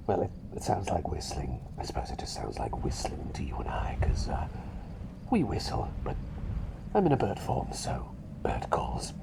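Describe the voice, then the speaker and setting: A man voicing a mild, flustered doctor turned into a small talking bird for an ASMR roleplay, softly, often whispering, hesitating and repeating himself. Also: medium pitch. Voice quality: soft, breathy, often dropping to a whisper. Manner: hesitant, rambling, repeats phrases. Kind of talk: ASMR roleplay, personal attention. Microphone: close ASMR microphone. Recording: close microphone with clear background noise.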